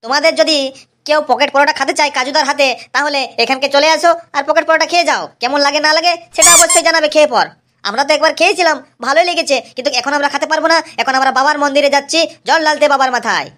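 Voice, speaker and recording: A cheeky young cartoon lad played in a high voice talks quickly to his viewers. He is chatty and excitable, repeating himself as he goes, in a mock-vlog comedy style. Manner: chatty, repetitive, addressing viewers.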